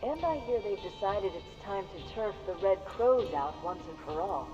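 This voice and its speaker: An actor playing a sly, chummy underworld tipster in a video game, gossipy and insinuating. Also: male voice, high-pitched, animated, normal speed. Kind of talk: video game cutscene acting. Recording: dull, reverberant, music behind.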